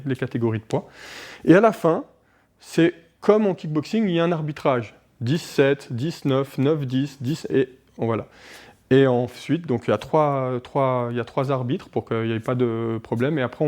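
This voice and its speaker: A man in his forties, raised in Switzerland, talks about running a martial arts dojo in a local TV interview. His voice is mellow and dark at a middle pitch, and he speaks with animated energy, often stopping to restart a sentence around fillers. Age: 40s.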